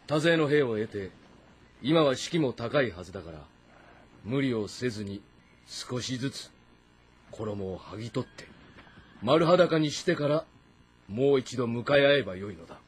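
An actor playing a stern, shrewd warlord in a historical game drama, giving authoritative, terse commands. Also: male voice, mid-range voice, lively intonation, moderate pace, pauses often, husky. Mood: stern, calculating. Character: stern, shrewd warlord commanding his army, instructing his son.

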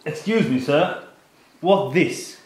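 Roomy sound, faint noise, obedient dim young soldier, high male voice. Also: expressive, slow pace. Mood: meek, eager. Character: meek, obedient, slightly dim young army private. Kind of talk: radio drama acting.

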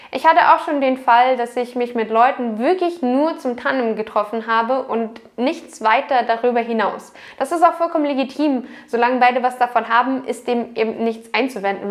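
A young woman with a fairly high voice gives advice in a home vlog. She talks directly to her viewers, chatty and earnest. The sound is close and clean from a lapel mic in a small room. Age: young adult. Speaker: vlogger.

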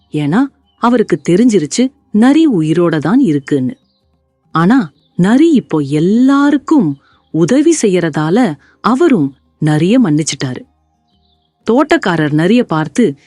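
A woman narrating a scripted children's story in an expressive, deliberate way. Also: on the low side, no room sound, clean studio sound.

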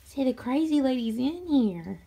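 A woman's voice: high-pitched, lively intonation, measured pace, gravelly, warm tone, husky. A woman chatting casually while doing farm chores, rambling and laughing often, recorded outdoors and in a barn on a handheld phone. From Tennessee, USA. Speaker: homestead vlogger.

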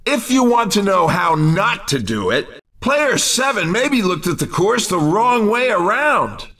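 A man's voice: high-pitched, big pitch swings, unhurried, pushed and bright, distant mic, heavily acted. A man playing a brash, wisecracking race commentator in a video game, with an emphatic, teasing showman's delivery.

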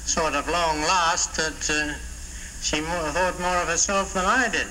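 An older man answers as a radio contestant, hesitant and dry. His voice is high and very sharp, heard on an old show replayed in a room with faint noise. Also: steady tone, a little slow, poor audio. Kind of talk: halting radio show banter.

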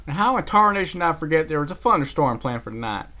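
Una pony di campagna decisa e sbrigativa, interpretata da un uomo, con una cadenza rurale del Sud degli Stati Uniti e modi schietti. La voce è spinta in alto e sonora, come in un audiolibro amatoriale. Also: male voice, on the high side, sing-song, quick pace, bright, forceful tone, pitched above the performer's own voice. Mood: impatient. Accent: rural Southern US put-on drawl. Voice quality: folksy, blunt country manner. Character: plucky country female earth pony, blunt and no-nonsense.

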